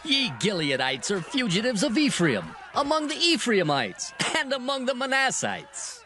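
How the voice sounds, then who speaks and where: A man's voice: extremely high pitch, wildly swooping pitch, normal speed, bright, forceful tone, slight background noise, exaggerated voice. An actor playing a hostile, threatening ancient tribesman, declaiming accusations aggressively in a scripture drama. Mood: scornful.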